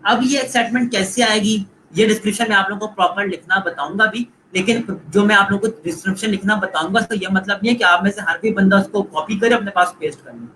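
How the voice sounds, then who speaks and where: A man's voice: sits high, fast, few pauses, pushed and bright, a little hiss or hum, poor audio. A man with a Pakistani Urdu accent giving a live online lecture, coaching students and repeating points while mixing in English phrases. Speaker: online course instructor.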